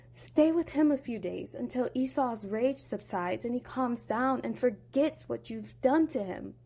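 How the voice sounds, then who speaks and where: A woman voicing a protective, anxious mother who speaks urgently in a raised pitch. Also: vocal fry, mellow, husky. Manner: urgent, commanding.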